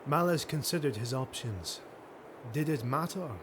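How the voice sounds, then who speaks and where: A man's voice: mid-range voice, expressive, normal speed, noisy background. A man reading a fantasy audiobook as dramatic scripted narration.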